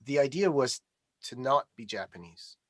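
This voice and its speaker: A man's voice: medium pitch, animated, normal speed, a bit bright, dry recording, studio quality. A middle-aged man, talking as a guest in a conversational interview, hesitant with many restarts. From Canada.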